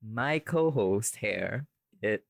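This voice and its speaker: A man's voice: on the low side, expressive, extremely slow, creaky, no echo, studio quality. A man hosting a casual podcast, bantering and rambling as he corrects himself and slips into playful mock-formal introductions. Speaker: podcast host.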